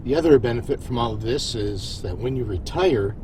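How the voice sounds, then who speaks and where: A wry, genial starship captain of middling pitch, acted by a man. He is friendly with the crew and in command of the ship, in the manner of a science fiction audio drama. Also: lively intonation, fairly quick, background noise, close to an everyday voice. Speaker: lead actor in audio drama.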